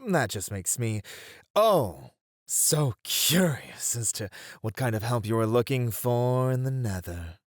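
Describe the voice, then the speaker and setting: A man playing a charming, smug male incubus demon in a flirty ASMR roleplay, with a teasing, drawn-out delivery. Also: mid-range voice, big pitch swings, no echo, clean studio sound. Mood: playful, mocking.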